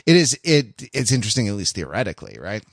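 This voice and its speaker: A man hosting a podcast in casual, reflective chat, rambling and halting as he circles back over his phrases. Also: fairly low pitch, huge pitch swings, creaky, no echo, clean studio sound. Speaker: podcast host.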